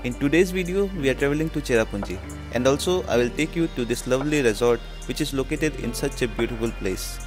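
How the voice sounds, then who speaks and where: A man's voice: mid-range voice, quick pace, hardly any pauses. A man with an Indian accent presenting a travel vlog as its host, narrating and speaking to the camera. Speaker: travel vlogger. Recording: loud music behind the voice.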